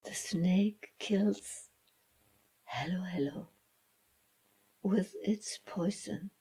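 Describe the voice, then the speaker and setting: A woman reading a children's story as its author, in a dramatic storybook style with hushed, breathy phrases and deliberate pauses. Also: medium pitch, poor audio. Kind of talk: slow dramatic storybook narration.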